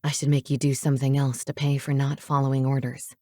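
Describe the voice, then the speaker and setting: A woman's voice: rumbling low, level tone, a little fast, mellow, no echo, clean studio sound, voice pushed down. A woman voicing a controlling teenage boy in an audiobook, curt and commanding with a sarcastic edge. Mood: commanding, threatening. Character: controlling, menacing teenage boy, coolly taunting.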